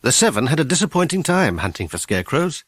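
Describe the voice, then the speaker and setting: Male narrator for children's fiction: expressive, varied pitch, dry and close. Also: fast, slightly rough, no room sound. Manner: expressive storytelling. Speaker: audiobook narrator. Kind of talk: scripted children's story narration.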